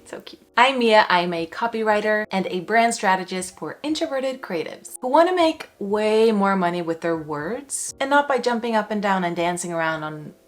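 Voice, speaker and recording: A woman's voice: mid-range voice, lively intonation, normal speed, few pauses. Young woman, vlog host, bubbly, playful, chatty asides, upbeat talk to camera. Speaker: copywriter and brand strategist, channel host.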